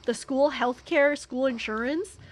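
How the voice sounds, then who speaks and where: A woman's voice: high voice, measured pace, a little hiss or hum. A young American woman streamer telling a story in a casual, rambling way, with many fillers and restarts. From United States. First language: English.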